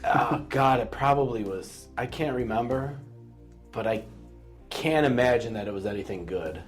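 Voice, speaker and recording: A man's voice: medium pitch, normal speed, soft background music. A middle-aged American man co-hosting a podcast in a casual, conversational style. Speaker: podcast co-host. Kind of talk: casual chat.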